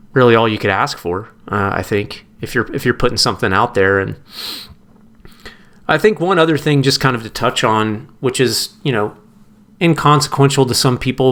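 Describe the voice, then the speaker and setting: Clear-voiced man in reflective interview talk. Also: medium pitch, a bit bright. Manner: halting, reflective. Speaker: musician, podcast guest.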